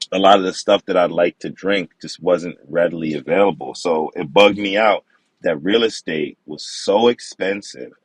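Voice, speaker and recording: Deep-voiced man, relaxed interview talk, dry close sound. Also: dry recording. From the Bronx, New York. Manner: relaxed, conversational, slang-flavored. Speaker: podcast guest, wine expert.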